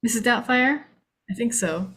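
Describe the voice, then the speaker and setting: A woman's voice: fairly high pitch, moderate pace, bright, forceful tone. A woman hosting a casual group chat, talking informally to the others on the call. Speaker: host of an online script table read.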